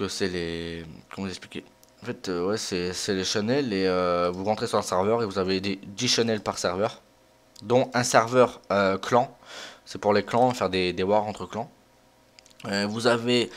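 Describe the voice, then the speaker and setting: Young Frenchman rambling through game commentary. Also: on the low side. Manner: informal, rambling, self-deprecating. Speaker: gaming video host. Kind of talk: casual let's play commentary.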